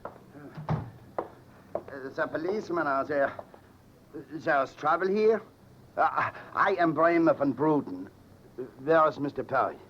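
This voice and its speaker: An actor playing a polite, money-minded foreign businessman with a continental European accent, speaking formally and haltingly in vintage radio drama. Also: a little high, lively intonation. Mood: hesitant. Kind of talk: 1940s-50s radio drama acting. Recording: dull, noisy vintage radio recording.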